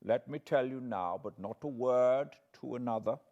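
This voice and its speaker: A man voicing an elderly foreign professor from a classic novel, solemn and fatherly, with quaint, deliberate phrasing. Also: medium pitch, a little mellow, lo-fi sound, pitched above the performer's own voice, close to an everyday voice. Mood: secretive, grave. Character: elderly foreign male professor, grave, tender, paternal, eccentric.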